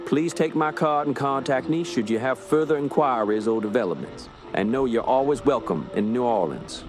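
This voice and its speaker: An actor playing a courteous, skeptical police inspector with a US Southern accent, speaking in a folksy, measured way in a radio drama. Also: male voice, mid-range voice, expressive, faint music behind, close to an everyday voice. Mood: courteous, grave.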